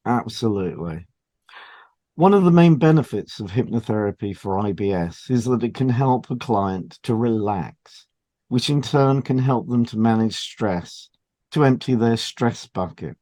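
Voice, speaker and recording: A man's voice: mid-range voice, animated, measured pace, mellow, dry recording. Man presenting an educational podcast, dry sound. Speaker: podcast co-host and hypnotherapist. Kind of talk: scripted educational narration.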